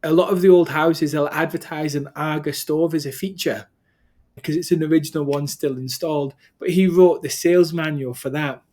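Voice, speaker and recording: A man's voice: on the high side, steady tone, normal speed, darkish tone. A man from the UK hosting an interview, rambling through anecdotes with many restarts. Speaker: interview host. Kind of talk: interview conversation.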